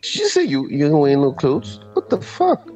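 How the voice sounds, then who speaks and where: A man's voice: fairly high pitch, wildly swooping pitch, normal speed, mellow, soft background music, low-quality recording. A man from Nigeria chatting casually as a caller on a voice chat app.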